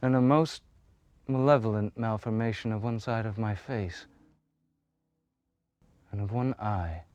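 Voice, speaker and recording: An actor on a theater stage playing a tormented, grandiose Victorian murderer in formal, declamatory monologue, with feverish piety. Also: male voice, lowish voice, a little mellow, highs cut off. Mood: haunted, ominous. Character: tormented male Victorian serial killer, grandiose, feverishly pious. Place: theater stage before an audience.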